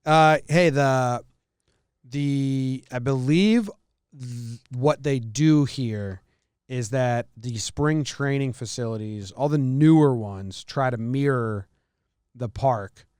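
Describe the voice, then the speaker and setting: An American man hosts a casual sports podcast. His voice is clean and smooth at an ordinary middle pitch, recorded close and dry with no room sound. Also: slow pace, darkish tone, no room sound. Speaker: podcast host.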